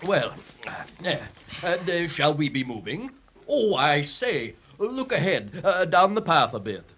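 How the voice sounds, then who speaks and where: High-pitched, genteel, hesitant dragon. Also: male voice, sing-song, measured pace, fairly bright tone. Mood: mild, polite. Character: mild, courteous, hesitant male patchwork dragon. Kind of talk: 1930s children's radio serial acting. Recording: dull, noisy, clicking 1930s radio transcription.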